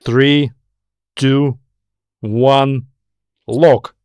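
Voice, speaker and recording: A man's voice: medium pitch, fairly even intonation, drawn-out words, darkish tone, clear, resonant tone, no room sound. Casting: male spaceship pilot, read by a male narrator, businesslike and terse, clipped commands, sci-fi story, very dry recording. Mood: focused, calm.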